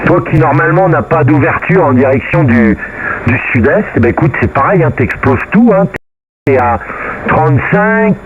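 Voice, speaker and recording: A man chatting casually and colloquially over amateur radio, often repeating his phrases. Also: mid-range voice. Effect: radio transmission. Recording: narrow, noisy radio transmission. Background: faint radio noise.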